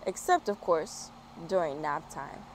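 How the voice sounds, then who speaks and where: A woman's voice: deep voice, expressive, a little slow, voice pushed down, low-quality recording. A woman voicing an eager, bossy, cheerful little female bird teacher in a children's storybook, chirpy and emphatic. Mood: firm, cheerful. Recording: outdoor street-work and traffic noise.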